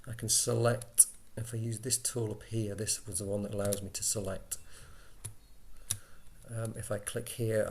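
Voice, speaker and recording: A man giving an informal software walkthrough, explaining step by step in a conversational way as an instructor. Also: lowish voice, creaky.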